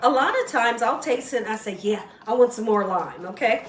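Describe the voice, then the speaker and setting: A woman hosting a casual home cooking tutorial, slightly hoarse as she loses her voice, chatty and encouraging toward viewers. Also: on the high side, lively intonation, a little fast, roomy sound, recorded from a distance, a little hiss or hum. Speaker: cooking show host. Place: home kitchen.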